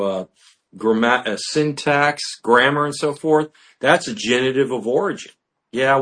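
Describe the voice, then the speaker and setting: A male pastor teaches a livestream congregation in an earnest, emphatic voice at a middle pitch, often pausing on "uh" between points. He is recorded through a built-in mic in an indoor room. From United States. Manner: earnest, emphatic preaching with frequent "uh" fillers. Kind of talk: sermon-style livestream teaching. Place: indoor room with natural acoustics. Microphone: built-in mic, no external microphone.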